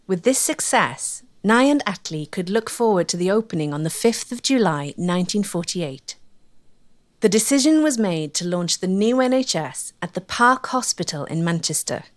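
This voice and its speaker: A woman reads scripted documentary narration in a measured, articulate way. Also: mid-range voice, expressive.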